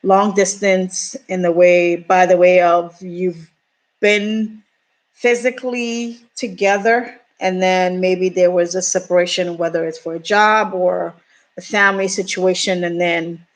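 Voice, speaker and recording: A woman in her forties from Ghana, telling a personal story on her vlog in a slow, hesitant way with many pauses. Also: fairly low pitch, little pitch movement. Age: 40s. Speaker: YouTuber.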